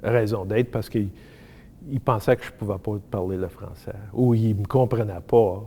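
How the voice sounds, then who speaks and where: A man telling about his in a halting, self-mocking way, slipping into English words. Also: lowish voice, mellow, a little hiss or hum. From Manitoba. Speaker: interviewee. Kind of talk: testimonial talk.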